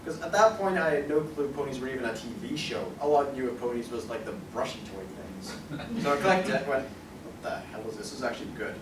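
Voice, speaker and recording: A man's voice: medium pitch, expressive, brisk. A young British man rambles through casual, jokey panel talk with loose swearing. He is recorded from a distance in an echoey room with plenty of background noise. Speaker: convention panelist, amateur audio drama voice actor. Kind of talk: casual unscripted panel chat. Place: convention panel room, indoors. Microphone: distant camera microphone. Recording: roomy, noisy, distant convention room recording.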